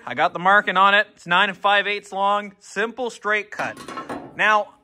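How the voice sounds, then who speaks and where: A young man with a fairly high, bright voice chats his way through a workshop project. He is easygoing and laughs at his own slip-ups. He films it all on an action camera inside a big garage. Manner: easygoing, self-deprecating, talks straight to viewers. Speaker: garage builder showing a project. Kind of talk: casual how-to workshop vlog. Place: large indoor workshop garage. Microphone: handheld or body-worn action camera.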